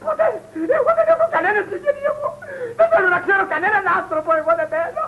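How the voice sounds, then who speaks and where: A man's voice: very high-pitched, very animated, slight background noise. An actor playing a hapless, self-pitying, hot-tempered middle-aged man in a theatrical comedy film, with a wailing, repetitive delivery. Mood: whining, tearful. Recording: muffled old film soundtrack, faint music.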